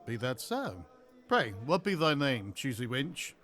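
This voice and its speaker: Man acting a rough, shrewd old-time sailor. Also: medium pitch, sing-song, fairly bright tone, soft background music. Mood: sly, probing. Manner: deliberate, weighty, archaic speech. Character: weathered, shrewd, boastful pirate sailor man, rough and flirtatious. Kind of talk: audio play acting.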